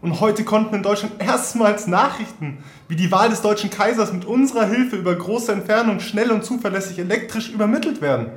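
A man's voice: sits high, brisk, close to an everyday voice. A man playing an earnest, brooding nineteenth-century Prussian inventor in a formal, declamatory audio drama monologue. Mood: proud, excited.

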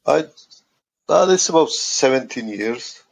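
A middle-aged man from Croatia, a guest speaking haltingly in a podcast interview, often pausing to search for words. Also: mid-range voice, animated, gravelly, slight background noise. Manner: halting, searching for words in non-native English. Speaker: guest, asset condition manager.

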